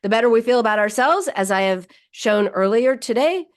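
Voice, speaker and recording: A woman hosts a weekly psychology radio show, talking listeners through advice in an easy monologue. Her voice is slightly hoarse. She often pauses on fillers and restarts her sentences. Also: on the high side, expressive. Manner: warm advice-giving with frequent fillers and restarts.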